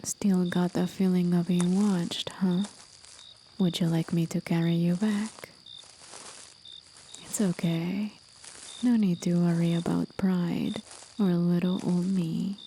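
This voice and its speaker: Woman as a slow, whispering, gentle forest demon. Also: on the low side, little pitch movement, a little hiss or hum. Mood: soft, coy. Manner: slow, soothing, breathy half-whisper. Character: gentle, aged, mysterious female forest demon with tail. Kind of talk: ASMR roleplay, whispered and intimate.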